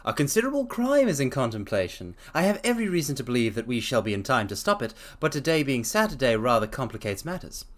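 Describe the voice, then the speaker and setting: A man voicing a brilliant male detective in a dramatic reading, speaking in a crisp, authoritative way. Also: mid-range voice, very animated, quick pace, hardly any pauses, lightly acted. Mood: brisk, confident.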